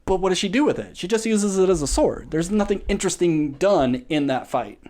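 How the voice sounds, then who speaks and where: A man co-hosting a podcast, talking through film fight scenes in a rambling, animated way that stumbles on words. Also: high-pitched, brisk. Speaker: podcast co-host. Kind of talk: casual film review chat.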